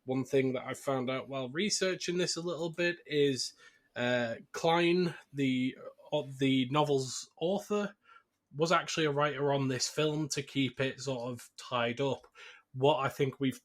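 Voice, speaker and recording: A man's voice: medium pitch, a little slow. A man hosting a podcast, talking through a film in a casual, chatty style. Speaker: podcast host.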